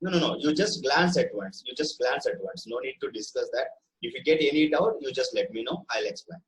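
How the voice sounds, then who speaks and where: A man's voice: medium pitch, lively intonation, fairly quick, poor audio. A man with an Indian accent teaching an online English vocabulary lesson, instructive and often checking that learners follow.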